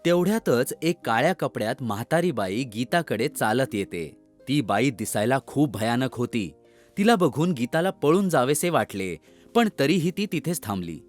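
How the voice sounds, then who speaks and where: A man narrating a scripted children's story as an expressive storyteller. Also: medium pitch, normal speed, soft background music.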